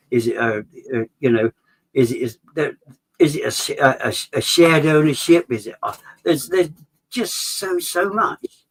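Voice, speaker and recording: A man talking at length in a conversational way, rambling with many restarts and "sort of" fillers, as the chair of an association. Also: medium pitch. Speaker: association chair, regular guest. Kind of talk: conversational explanation.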